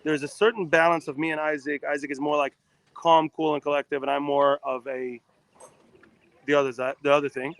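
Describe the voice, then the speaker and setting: Man from Israel, live-show co-host, somewhat high voice, dry close sound, rambling delivery. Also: flat delivery, vocal fry, no echo. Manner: quick, rambling talk with many restarts. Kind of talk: casual live chat.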